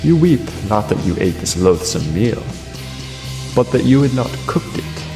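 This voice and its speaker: A man playing a cruel, vengeful king in a classical verse tragedy, gloating deliberately and theatrically in a gravelly voice. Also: medium pitch, very animated. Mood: cold scorn. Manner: deliberate, theatrical, savouring each phrase. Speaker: stage actor. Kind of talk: classical verse tragedy acting, declaimed monologue. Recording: long reverb, guitar music underneath.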